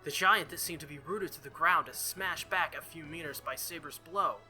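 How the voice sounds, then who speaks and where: A man's voice: fairly high pitch, normal speed, pushed and bright, faint music behind. A man doing a visual novel playthrough, reading the story aloud dramatically and dropping in casual asides. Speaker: let's player.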